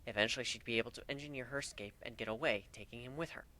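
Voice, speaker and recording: A man puts on a smug, playful changeling wife from a fantasy fan story. Her voice is bright and sly, in a middling male register, with a matter-of-fact way of explaining. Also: medium pitch, rapid-fire, close to an everyday voice.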